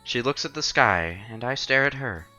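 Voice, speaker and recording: Animated man reading a visual novel aloud. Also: medium pitch, normal speed, faint music behind, low-quality recording. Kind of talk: expressive read-aloud narration of a visual novel.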